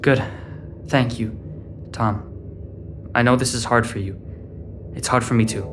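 A man's voice: mid-range voice, fairly even intonation, unhurried, noisy background, natural voice. A young man playing a stubborn, secretive teenage boy on the run in an audio drama. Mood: evasive, reassuring. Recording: dramatic music underneath.

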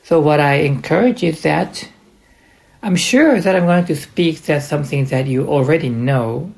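A man with a Japanese accent delivers an instructional podcast monologue as a coach. His pace is slow and his voice sits at a medium pitch. He places his words deliberately, in short phrases with halts between them. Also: vocal fry, darkish tone. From Japan, partly raised in the United States.

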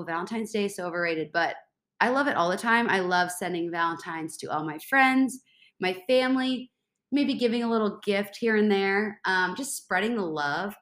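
A woman's voice: medium pitch, a bit bright, roomy sound. A congested young woman hosting a casual solo podcast, bubbly and rambling, filling gaps with "like". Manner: bubbly, rambling, says like a lot. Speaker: podcast host.

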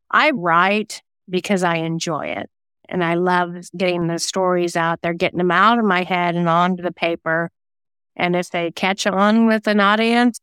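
A woman talks as a guest in a conversational interview. Her voice sits a little low and is smooth and clean. She explains things at length with informal asides, in a dry recording with no room sound. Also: fairly bright tone, no room sound. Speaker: guest, singer-songwriter and self-published novelist.

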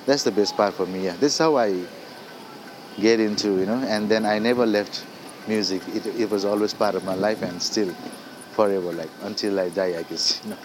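A Nepali-speaking man with a Nepali accent, a singer-songwriter talking casually as a guest, halting and full of fillers like "you know" and "like". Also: on the low side, noisy background. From Darjeeling hills, India. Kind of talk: casual interview. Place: indoors.

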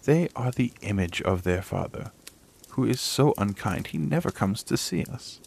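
A man voicing a fussy, proud mother duck in a storybook reading, with a high, fluttering put-on voice. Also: animated, moderate pace, vocal fry, darkish tone, slightly rough, full character voice. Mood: complaining.

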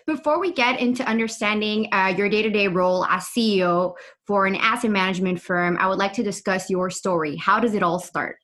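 A woman's voice: medium pitch, brisk, few pauses, fairly bright tone. A woman hosting a podcast, reading a guest introduction and then asking questions in a polite, affirming way. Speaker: podcast host. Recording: faint music behind.

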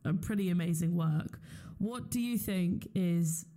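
Mellow, lower-pitched woman interviewer. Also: a little slow. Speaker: interviewer, host. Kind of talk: informal conversational interview.

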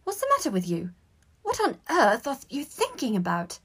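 A bossy teenage schoolgirl from a storybook, put on by a woman reader. She scolds in a raised pitch with exasperated, emphatic snap. She sounds sure that she knows best. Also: animated, normal speed, breathy edge. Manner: snappy, emphatic, know-it-all.

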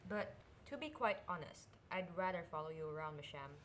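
A woman's voice: lowish voice, lively intonation, fairly quick, a bit bright, a little hiss or hum. A woman playing a curious, restless young princess and affectionate sister in an audio drama. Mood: sly, urgent.

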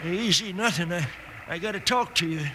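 An actor playing a battered, stubborn American mine owner, weakened and halting, in a 1950s radio western. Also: male voice, sits high, pushed and bright. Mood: groggy, urgent. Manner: halting, rustic speech. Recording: slightly noisy old radio recording.

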